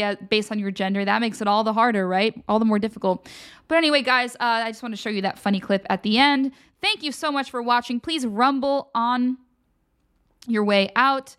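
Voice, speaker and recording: A woman with a fairly high voice hosts a live-streamed show and talks straight to her viewers. She speaks in a loose, unscripted way, giving blunt personal advice like she is chatting with friends. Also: fairly bright tone. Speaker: host of a livestream show.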